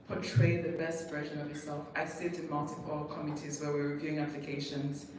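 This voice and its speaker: A speaker from Tanzania giving a measured public presentation with pauses, as a university programme representative. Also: mid-range voice. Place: echoey event hall with audience. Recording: echoey room, distant mic, clearly noisy.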